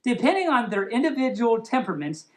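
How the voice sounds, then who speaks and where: A woman's voice: a little high, big pitch swings, fast talker. A woman reading a humorous story aloud as host, playful and giggly, breaking off for casual asides. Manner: playful, giggly, stumbles over words and riffs on them.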